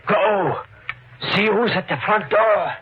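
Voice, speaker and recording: An actor playing a haunted, guilt-ridden husband in a 1940s radio mystery, brooding and dramatic, with deliberate phrasing. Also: male voice, high-pitched. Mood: tense. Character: haunted, guilt-ridden husband narrating his murderous obsession. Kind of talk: 1940s radio mystery acting, narration and dialogue. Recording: noisy, dull 1940s radio recording.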